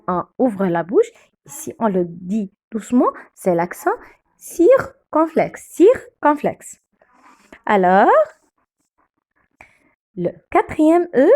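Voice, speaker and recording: A woman, a native Arabic speaker, gives a French lesson at a very slow, careful pace. She stretches and repeats each sound for learners, and her pitch rises and falls widely as she stresses words. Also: a little mellow. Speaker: French teacher. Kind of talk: slow classroom explanation of French accents.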